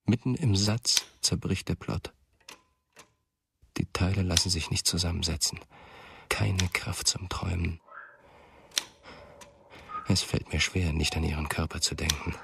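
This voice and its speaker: An actor playing a brooding, haunted writer in a radio drama, gravelly and halting, speaking an introspective monologue. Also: male voice, low voice, steady tone, fairly bright tone, a little hiss or hum, exaggerated voice. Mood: melancholy, brooding. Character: brooding male writer haunted by memories and longing.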